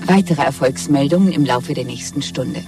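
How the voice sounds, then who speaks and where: A state propaganda announcer in a radio play, acted by a man, heard from a distance with faint music. The voice is slightly high, formal and proclamatory, full of official triumph. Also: normal speed, lightly acted. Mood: triumphant. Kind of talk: scripted propaganda bulletin in radio drama. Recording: distant public loudspeaker, music behind.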